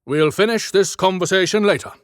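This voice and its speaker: A man's voice: high voice, a little fast, no echo, clean studio sound, lightly acted. A man playing a serious, weary Santa Claus in an audiobook drama, grave and questioning. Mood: firm, urgent.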